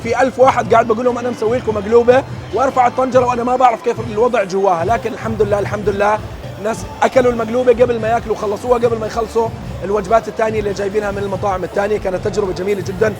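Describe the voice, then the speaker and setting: Young guy hosting a cooking vlog, excitable and jokey, music in the background. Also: sits high. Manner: brisk, excitable, jokey, talking straight to viewers. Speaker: cooking show host. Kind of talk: casual on-location cooking vlog narration. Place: busy event venue with a large outdoor cooking area.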